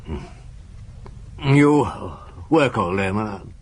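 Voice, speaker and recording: An actor playing a weary, doubting middle-aged chief inspector in a radio drama, probing, hesitant and often muttering to himself. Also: male voice, fairly low pitch, animated, slow, darkish tone, husky, heavily acted. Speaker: detective and narrator. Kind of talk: radio drama dialogue and narration.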